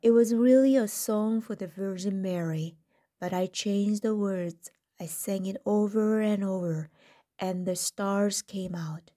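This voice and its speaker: A woman reading a first-person story aloud in a gentle, deliberate manner. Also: mid-range voice.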